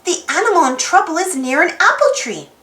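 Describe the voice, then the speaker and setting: Woman putting on earnest high-voiced little turtle. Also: sing-song, fairly quick, sharp tone, echoey room, far from the mic, exaggerated voice. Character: earnest, caring little turtle, cartoon superhero pet.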